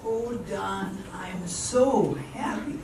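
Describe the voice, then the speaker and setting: Woman acting slow, wistful sweetheart, very echoey. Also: medium pitch, background noise. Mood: happy. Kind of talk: amateur radio drama acting. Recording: very echoey, distant, music behind.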